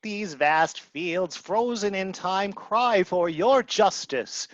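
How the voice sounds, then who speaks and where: A man acts out an anguished, grandiose castaway in an absurdist radio play. The voice is fairly high for a male, unhurried and declamatory. It comes over a dull, dry video-call headset. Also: animated, a bit bright, dry recording, full character voice. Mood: lofty. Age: middle-aged. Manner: theatrical, declamatory. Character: grandiose, anguished stranded man given to doom-laden lament.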